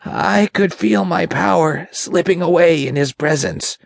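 A man voicing a frightened, servile demon underling with a high, raspy, creaky voice and a timid, halting manner in an audiobook. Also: no echo, heavily acted. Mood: ashamed, fearful. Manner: timid, halting, whimpering, slow. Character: groveling, frightened male impure spirit, servile demon underling. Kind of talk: dramatic audiobook character voice.

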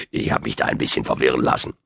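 Doddering, very deep old wizard. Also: male voice, gravelly, a bit bright, slightly rough, dry recording, clean studio sound, heavily acted. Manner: hesitant, halting, full of ums and chuckles. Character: muddled old wizard, doddering, amorous, well-meaning. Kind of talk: audiobook character voice.

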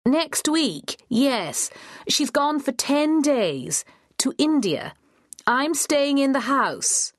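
A woman plays a polite dog owner who keeps her patience with an old neighbour in a storybook. She lifts her voice higher and louder to be heard. The read is close and dry. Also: big pitch swings, drawn-out words, no room sound, full character voice.

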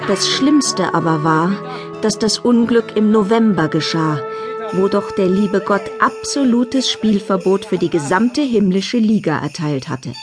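A woman narrating a children's Christmas tale in a measured, wryly humorous storybook style. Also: medium pitch, expressive, lightly acted. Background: music.

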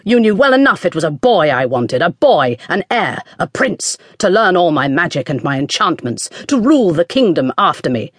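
A storyteller voicing a bad-tempered, scornful sorcerer king who draws out his words slowly. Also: mid-range voice, expressive, fairly bright tone, breathy edge, no echo, studio quality.

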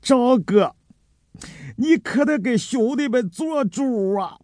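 A man's voice: sits high, expressive, husky, no echo, studio quality, full character voice. A man playing a beaten-up underling who whines and pleads deferentially to his boss in an audiobook drama. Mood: tearful pleading. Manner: tearful, pleading, deferential, drawn-out. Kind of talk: dramatised audiobook character acting.

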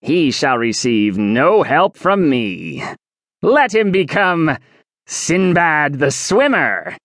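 A man's voice: a little high, big pitch swings, takes it slowly, a bit bright, no room sound, clean studio sound, pitched above the performer's own voice, heavily acted. A man voicing a vain, spiteful old male clockwork sorcerer who hisses his threats imperiously. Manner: hissing, imperious.

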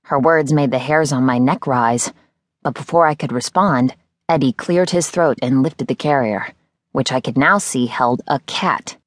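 A woman's voice: a bass voice, unhurried, no echo, studio quality. A woman reading a first-person novel as scripted audiobook narration.

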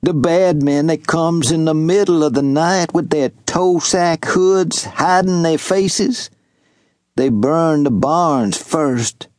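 Brief: man doing old Southern servant, loyal, humble, raised high, slow earnest drawl, storybook read. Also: darkish tone, no echo, studio quality. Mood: grave. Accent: Southern US rural dialect. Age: older. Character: elderly male farm servant, loyal and humble.

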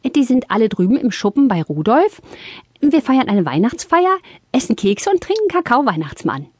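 A woman playing a small, eager, chirpy young Christmas fairy in a children's audio play, with a breathy, girlish voice. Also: fairly high pitch, very animated, moderate pace, darkish tone, no room sound. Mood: eager, cheerful.

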